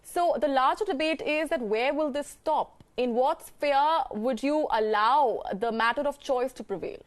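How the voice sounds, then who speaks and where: A woman anchoring a TV news debate, brisk and keeping speakers to time. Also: sits high. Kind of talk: moderating a TV debate.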